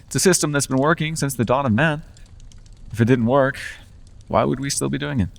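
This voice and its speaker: A man's voice: medium pitch, fairly quick, creaky, natural voice. A man voicing a charming, manipulative male fey lord in an ASMR roleplay, speaking in a silky, coaxing, teasing way. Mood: persuasive. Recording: long reverb.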